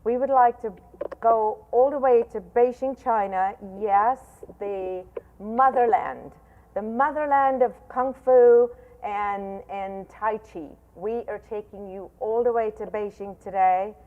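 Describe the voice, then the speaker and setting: A woman hosts the show in an enthusiastic, chatty way, tripping over and restarting her words. Her mid-pitched voice comes through a thin, tinny phone-like line. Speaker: show host. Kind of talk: interview hosting.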